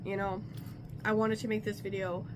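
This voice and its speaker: A woman with a blocked-up nose from a cold talks through her crafting in a loose, rambling way, often breaking off mid-thought. Her voice sits at an ordinary middle pitch. A clear hiss of noise runs under the home recording. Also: flat delivery, normal speed. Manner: chatty, rambling, self-interrupting. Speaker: crafting vlogger. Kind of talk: casual talk while crafting.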